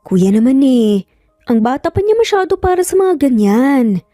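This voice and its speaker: A young mother in a romance story, acted by a female narrator. She is easily flustered and protests indignantly. Her voice is fairly high and softly toned, recorded dry. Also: big pitch swings, normal speed, no room sound, faint music behind. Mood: whining protest. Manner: fretful, indignant.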